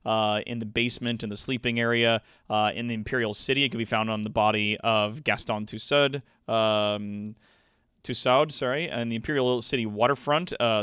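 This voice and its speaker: A man's voice: fairly low pitch, fairly bright tone, clear, resonant tone, dry recording, clean studio sound. A man hosting a livestream, explaining things casually and playfully with some hesitation. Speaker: livestream host.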